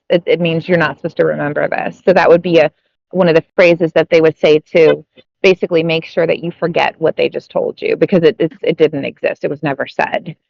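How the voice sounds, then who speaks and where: A woman's voice: sits low, gravelly, dry recording. A woman talking in a casual, rambling way, often restarting her sentences and swearing. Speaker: speaker sharing her story. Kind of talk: casual spoken monologue. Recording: muffled, dry lo-fi audio.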